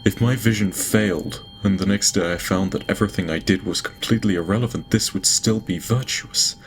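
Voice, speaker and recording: An actor playing a guilt-ridden lord in an audio drama, dictating a private log in halting, stammering speech broken by sighs. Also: male voice, sits low, slight background noise, close to an everyday voice, low-quality recording. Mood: defensive. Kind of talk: audio drama acting, personal log monologue. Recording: music behind the voice. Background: music.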